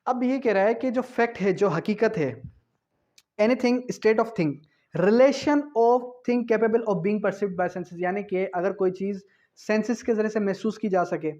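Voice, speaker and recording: A man's voice: sits high, a little mellow. A man with a Pakistani accent lecturing for an exam, didactically reading out definitions, repeating key phrases and asking rhetorical questions as he explains. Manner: switches between Urdu and slowly read English phrases. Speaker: law lecturer. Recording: dull lo-fi lecture audio.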